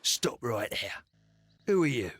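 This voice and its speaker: A bossy crook gang leader for a game, medium pitch, curt, distrustful, kinda threatening. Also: male voice, big pitch swings, takes it slowly, a bit bright, slightly rough, no room sound, heavily acted. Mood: suspicious, challenging. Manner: curt, menacing, commanding. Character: suspicious, bossy male criminal gang leader. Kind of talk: scripted video game acting.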